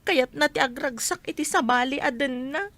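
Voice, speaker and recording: Radio drama wronged young-family mother, extremely high voice, tearful pleading, close dry sound. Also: fairly bright tone, no echo. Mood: tearful, sorrowful. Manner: plaintive, drawn-out, tearful delivery.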